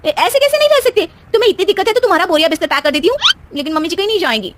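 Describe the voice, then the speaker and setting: A woman doing a shrill feisty young wife. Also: animated, very fast. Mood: protesting. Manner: shrill, indignant, high-pitched retorts. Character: feisty young woman, daughter-in-law, quick-tempered. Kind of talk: cartoon voice acting.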